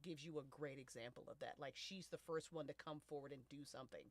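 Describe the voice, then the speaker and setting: A woman's voice: sits low, quick pace, dry recording. An American woman around thirty chatting casually as a podcast host, with emphatic, slangy, sweary phrasing. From United States. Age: late 20s to early 30s. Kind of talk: casual panel chat.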